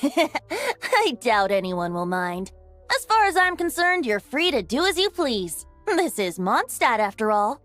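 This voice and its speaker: Impish boyish bard, game role: airy, playful, high and melodic. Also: female voice, big pitch swings, unhurried, fairly bright tone, faint music behind, exaggerated voice. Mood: easygoing. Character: carefree, impish young male bard. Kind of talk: anime game voice acting.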